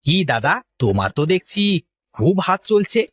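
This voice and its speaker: A man playing a scheming, jealous village potter with a sly, needling way of talking, in an animated moral story. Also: fairly high pitch, normal speed. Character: scheming, jealous male village potter, falsely sympathetic rival.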